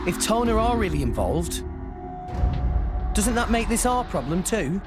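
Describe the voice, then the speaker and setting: A man's voice: sits high, sing-song, measured pace, over music. A man playing an earnest, plucky teenage boy hero in a video game cutscene, with an eager delivery.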